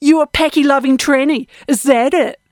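A woman puts on a belligerent female bar bully for an audiobook. The voice is coarse in manner, jeering and aggressive, pitched fairly high. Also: measured pace, darkish tone, no room sound, studio quality, close to an everyday voice. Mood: sneering, hostile.

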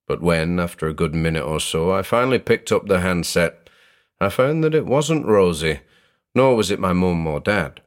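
Deep-voiced male storyteller. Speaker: narrator. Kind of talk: scripted horror audiobook narration in the first person.

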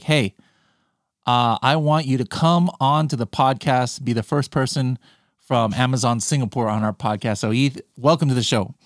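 A man's voice: mid-range voice, no room sound, clean studio sound. A man hosting a podcast, chatting in an upbeat, enthusiastic way and laughing easily. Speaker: podcast host. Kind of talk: casual interview chat.

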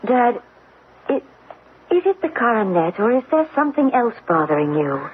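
Gentle dutiful young woman, expressive, tinny old radio drama. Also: on the high side, moderate pace, slight background noise. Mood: tender. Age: young adult. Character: gentle, caring young niece, dutiful daughter figure. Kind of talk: vintage radio drama acting.

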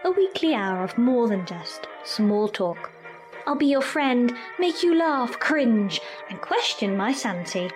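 A woman's voice: fairly high pitch, very animated, a little slow, rough recording. A woman presenter delivering a scripted radio promo in a playful, theatrical way. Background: music bed.

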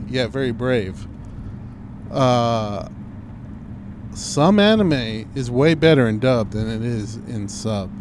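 Chill guy rambling in a parked car, engine and road noise behind him. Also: medium pitch. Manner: laid-back, drawling, fillers. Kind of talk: casual chat.

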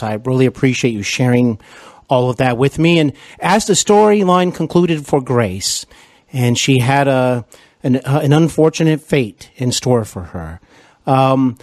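A man's voice: medium pitch, darkish tone, no room sound, studio quality. A man hosting an interview, asking long rambling questions full of fillers and restarts. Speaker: podcast interviewer and actor.

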